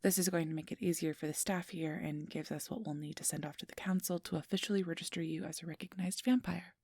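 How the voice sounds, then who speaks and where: A woman playing a friendly, easily flustered registration clerk in a soft-spoken ASMR roleplay, gentle, hesitant and reassuring. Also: lowish voice, steady tone, fast talker, husky, no room sound. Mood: soft, businesslike. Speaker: interviewer.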